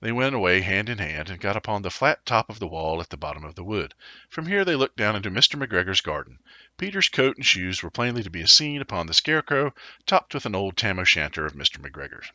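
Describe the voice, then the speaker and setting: A man reading a children's story aloud in an animated, theatrical way. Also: on the low side, brisk, dry recording, lo-fi sound. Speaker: storybook reader. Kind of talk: expressive children's story narration.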